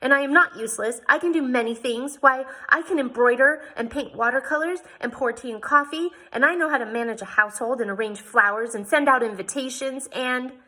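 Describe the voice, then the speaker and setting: A woman's voice: high-pitched, fairly quick, few pauses, sharp tone, pitched above the performer's own voice. A woman reading aloud and voicing an indignant, pleading young lady from Philadelphia. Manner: emphatic, pleading, raised voice.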